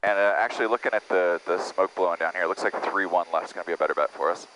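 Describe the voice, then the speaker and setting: A man's voice: racing, a bit bright, low-quality recording. A man speaking as a pilot over aviation radio in an emergency, in informal but procedural phrasing. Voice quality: low-pitched. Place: small airplane cockpit in flight. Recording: clear engine-cockpit and radio noise. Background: vehicle noise, radio clicks.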